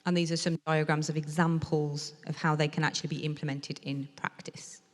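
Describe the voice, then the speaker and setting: A low-pitched woman interprets a lecture into speech, measured and explanatory, pausing on the odd filler. Her voice carries with a long reverb from a lecture hall at a museum event. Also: little pitch movement. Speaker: sign language interpreter voicing a deaf speaker. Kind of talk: interpreting a design talk aloud.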